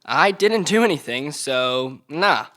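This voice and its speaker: A man's voice: fairly high pitch, expressive, takes it slowly, pushed and bright, exaggerated voice. A man playing a defiant young murder suspect in a dramatic table read, flippant and sarcastic.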